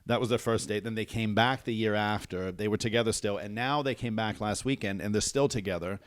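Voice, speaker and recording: A man from the Netherlands with an American accent, talking casually as a guest on a podcast. His voice sits fairly low, and it is recorded close and dry. He often laughs as he tells his stories. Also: fairly quick, no echo. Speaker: comedian guest.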